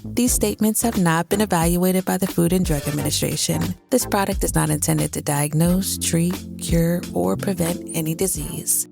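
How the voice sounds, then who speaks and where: A woman's voice: fairly low pitch, moderate pace, gravelly, over music. A woman delivering a scripted advertisement voice-over. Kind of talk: scripted ad read.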